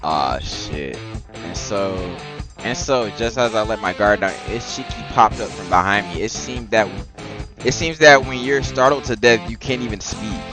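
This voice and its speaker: A man's voice: fairly low pitch, moderate pace. A man streaming a visual novel, switching between casual, profane slangy commentary and reading the narration aloud. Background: plucked guitar game music.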